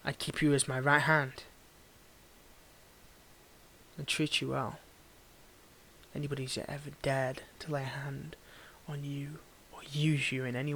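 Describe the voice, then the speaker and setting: Casting: male actor as cold tsundere villain for audio roleplay. Slightly high male voice, domineering, condescending, intimate and theatrical. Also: vocal fry, a little hiss or hum. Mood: defensive, haughty, then hushed, possessive. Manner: condescending, theatrical, swinging between ranting and hushed murmurs. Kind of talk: scripted audio roleplay monologue, intimate address.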